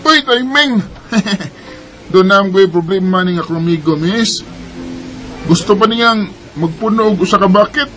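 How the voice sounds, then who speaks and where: A man's voice: high voice, lively intonation, measured pace, bright, forceful tone, soft background music, exaggerated voice. Man as a jolly Cebuano drinking buddy. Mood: playful. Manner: teasing, flirty, laughing.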